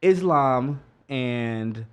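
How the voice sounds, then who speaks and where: A man appearing as a guest in a casual chat, animated and excitable, often laughing and repeating himself. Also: mid-range voice, drawn-out words, smooth.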